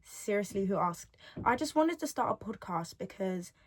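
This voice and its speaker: A woman's voice: medium pitch, animated, normal speed, slight background noise. An eighteen-year-old woman talks on her solo podcast, chatty and rambling, often repeating her own phrases. Her voice sits in an everyday middle range. She records from home on a device's built-in mic, not a proper microphone. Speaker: podcast host.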